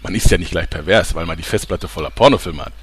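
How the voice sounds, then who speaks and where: A man's voice: sits low, quick pace, a little hiss or hum, close to an everyday voice. A man playing a pompous, sleazy, easily flustered TV reporter in an audio parody, with dramatic announcer delivery.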